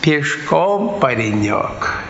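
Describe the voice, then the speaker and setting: A devout old wanderer in a radio play. He speaks slowly and meekly in a fairly high voice for a man. The sound rings in a long, hall-like echo. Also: sing-song, fairly bright tone, dull audio, slight background noise, full character voice. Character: meek, devout old wandering pilgrim from the north. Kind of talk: radio drama acting.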